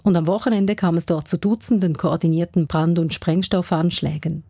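A woman's voice: lowish voice, fairly even intonation, moderate pace, gravelly, mellow, breathy edge, dry recording, clean studio sound. A woman presenting a news programme, reading scripted introductions.

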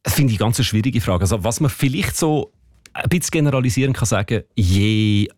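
A man's voice: medium pitch, expressive, brisk, a little mellow, dry recording. A man with a Zurich Swiss German accent, a dialect expert explaining thoughtfully on a radio show, with some fillers. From Switzerland. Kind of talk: radio interview. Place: radio studio.

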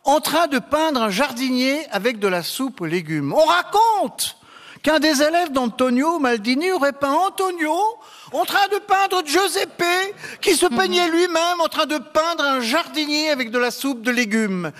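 A man's voice: very high-pitched, measured pace, fairly bright tone. A man giving humorous mock explanations as a game show panelist, with hesitant asides. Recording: reverberant hall.

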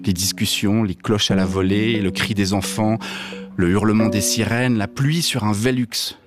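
A man's voice: fairly low pitch, lightly acted. A man playing a deaf man who dislikes reading novels, speaking a blunt scripted radio monologue over music. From Switzerland. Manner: blunt, mildly provocative, direct address. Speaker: radio columnist.

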